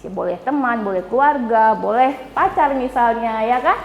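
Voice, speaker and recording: A woman's voice: a little high, expressive, moderate pace, creaky, muffled recording. Chatty female lecturer, echoey classroom. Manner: chatty, often asks "ya kan?" for agreement. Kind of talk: classroom lecture with questions to students. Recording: echoey classroom with long reverb.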